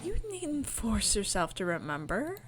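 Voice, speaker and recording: A woman voicing a gentle, evasive queen in a visual novel, hesitant and cryptic. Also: mid-range voice, wildly swooping pitch, a little slow, background noise.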